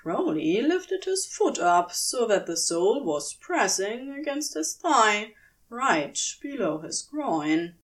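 A woman's voice: fairly high pitch, big pitch swings, slow. A woman narrating scripted fiction as a storyteller, in audiobook style.

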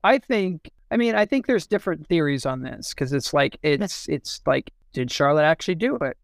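A man in a casual literary discussion, thinking aloud in a halting way and often repeating and restarting his phrases. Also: on the high side, expressive, vocal fry, dry recording, studio quality. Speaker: podcast discussion co-host.

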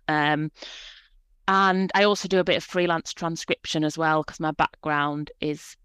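A woman's voice: deep voice, steady tone, unhurried, fairly bright tone, clean tone, dry recording. A woman in her forties, a podcast guest talking about her work in a chatty, reflective way, with frequent fillers. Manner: chatty, reflective, many fillers and small laughs. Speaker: guest, proofreader and editor. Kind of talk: conversational podcast interview.

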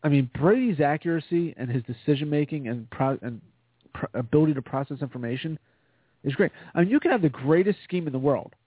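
Fast-talking husky man on radio. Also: fairly high pitch, dry recording. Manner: fast, excited, repeats phrases. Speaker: radio co-host. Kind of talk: casual sports radio chat. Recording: dry phone-line radio sound.

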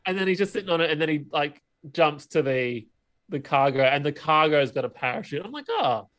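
A man's voice: a little high, sing-song, brisk, fairly bright tone. A man co-hosting a podcast, chatting casually about movies and games. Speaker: podcast co-host. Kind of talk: casual movie and game chat.

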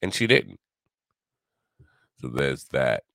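An older man hosting his own podcast in a rambling solo monologue, grumbly and low, wry and self-mocking. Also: big pitch swings, takes it slowly, gravelly, fairly bright tone, no room sound, clean studio sound. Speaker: podcast host.

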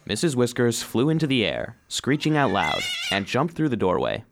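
A man's voice: medium pitch, huge pitch swings, moderate pace, gravelly, noisy background. A man playing an earnest, eager cleaning robot who narrates his own story expressively in a children's audio drama. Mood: excited, hushed.

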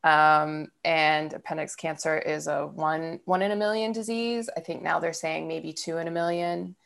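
Creaky-voiced chatty woman. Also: deep voice, steady tone, normal speed, a bit bright. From Ohio, USA. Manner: chatty, rambling with fillers and laughs. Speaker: podcast host. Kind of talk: casual conversation.

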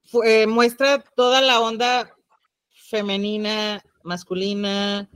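A woman's voice: medium pitch, level tone, slow pace, fairly bright tone, smooth, no echo, studio quality. A woman with a Mexican accent co-hosting a podcast, talking in a chatty, playful way with slangy asides. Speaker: podcast co-host. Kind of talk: casual podcast chat about the occult.

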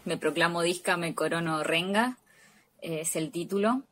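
Lowish, halting Argentine woman in close, dry sound. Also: fairly bright tone, no room sound. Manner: halting, repeats words while searching. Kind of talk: casual, reflective interview talk.

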